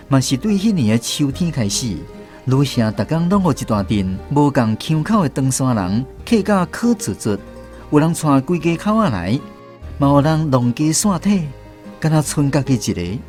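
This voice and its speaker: A man's voice: mid-range voice, very animated, normal speed, darkish tone, soft background music. A man with a Taiwanese accent narrating a radio drama as a scripted storyteller.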